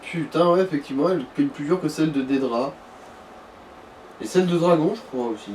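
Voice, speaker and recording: A man's voice: fairly high pitch, brisk, darkish tone. A man casually bantering as a let's play co-commentator, joking and swearing informally. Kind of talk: casual gaming chat. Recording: distant mic with background noise.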